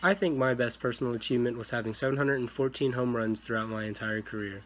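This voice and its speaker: A man's voice: mid-range voice, quick pace, lightly acted. A man playing a famous 1920s baseball slugger, giving rehearsed interview answers. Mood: matter-of-fact. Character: famous 1920s male baseball home-run star. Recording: noisy room recording.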